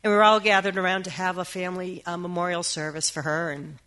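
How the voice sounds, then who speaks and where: A woman's voice: lowish voice, flat delivery, fast talker, bright, forceful tone. A middle-aged woman with a scratchy voice from a cold gives an earnest personal talk, with frequent ums. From Massachusetts. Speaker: hospice worker giving a talk.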